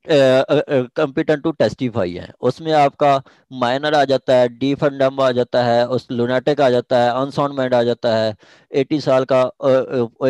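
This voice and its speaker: A man's voice: medium pitch, dry recording. A man with a Pakistani accent explaining a legal text as an informal lecturer, repeating key phrases and switching into English. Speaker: law lecturer.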